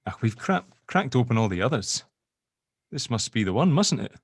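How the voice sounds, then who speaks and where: A man's voice: medium pitch, very animated, moderate pace, breathy edge, no room sound, clean studio sound. A man playing a sardonic, joking sceptic in an audio drama table read, bantering informally. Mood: coaxing. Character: sardonic, sceptical spirit-dealing grave opener, gently joking.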